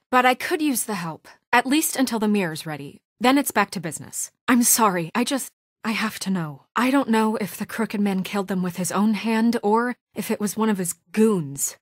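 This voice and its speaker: An actress playing a stern, principled young woman leader in a video game, earnest, measured and formal, weary but composed. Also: mid-range voice, no room sound, studio quality, lightly acted. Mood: tense, businesslike, then troubled, searching. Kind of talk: dramatic video game voice acting.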